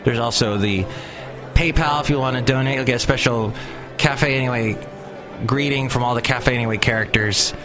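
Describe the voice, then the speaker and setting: Man, podcast host, rambling, chatty, mid-pitched, music playing behind. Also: moderate pace. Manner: rambling, chatty, many fillers and restarts. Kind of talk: casual solo podcast talk.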